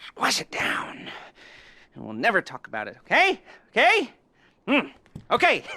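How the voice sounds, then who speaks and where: An actor playing a sly middle-aged heist planner in a video game, rambling, giggling and teasing with creepy innuendo. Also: male voice, high voice, wildly swooping pitch, sharp tone, full character voice. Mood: leering. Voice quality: giggling, rambling with many pauses. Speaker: heist mission giver. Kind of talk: video game cutscene dialogue.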